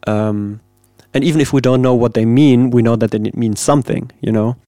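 A man, a composer, giving thoughtful, measured interview answers and searching for the right words. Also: mid-range voice, warm tone, no echo, studio quality. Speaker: composer guest.

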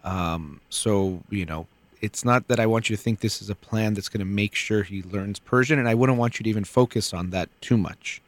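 A man with a low voice hosts a call-in advice show from a radio studio. He talks in a calm, measured way, loose with his fillers. The sound is dry and close, with no room around it. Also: no echo.

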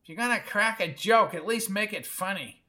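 A man voicing a sneering, sharp-tongued little old woman with a scratchy, screechy voice. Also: sits high, moderate pace, voice pushed up. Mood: sneering, scornful.

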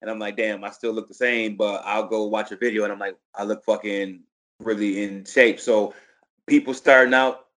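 Laid-back low-voiced man chatting. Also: steady tone, moderate pace, fairly bright tone. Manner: laid-back, laughs along, short affirmations. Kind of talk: casual chat.

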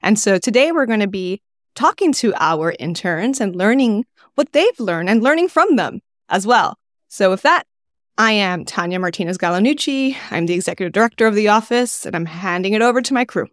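A woman's voice: mid-range voice, animated, fairly quick, no echo, clean studio sound. A woman hosting a podcast as the office's executive director, opening the episode with enthusiasm. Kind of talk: scripted podcast introduction.

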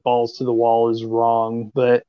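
A man's voice: mid-range voice, monotone, measured pace, smooth, no echo. Rambling male podcast co-host, dull dry sound. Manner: rambling, hesitant, many fillers. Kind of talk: casual music podcast chat.

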